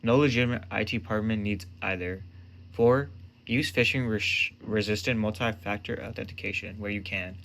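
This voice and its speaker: A man's voice: lowish voice, moderate pace, creaky, fairly bright tone. A man presenting a scripted explainer in short, emphatic phrases.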